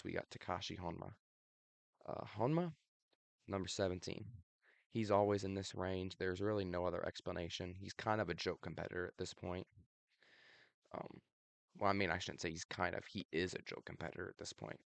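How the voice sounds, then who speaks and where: A young man casually giving his predictions for a video, slightly raspy from allergies, with lots of ums. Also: low-pitched, no room sound. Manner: relaxed, many ums, laughs at himself. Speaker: video host.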